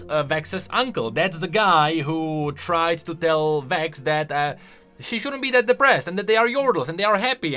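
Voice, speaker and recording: A man's voice: high-pitched, sharp tone. A man hosting casual video game commentary, emphatic and thinking aloud as he goes. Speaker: video creator and host.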